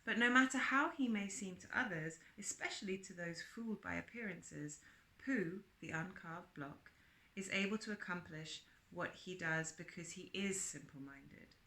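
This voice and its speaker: Bright-voiced woman reading aloud, roomy sound. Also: on the low side, normal speed. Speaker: reader and presenter of book extracts. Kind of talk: read-aloud with chatty asides and character quotes.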